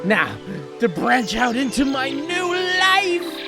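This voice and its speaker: A woman's voice: high-pitched, big pitch swings, slow, gravelly, over music, full character voice. A woman playing a lovestruck, dreamy shapeshifting alien tourist in an audio drama, with melodramatic, swooning delivery. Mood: lovesick, dramatic. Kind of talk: scripted audio drama acting.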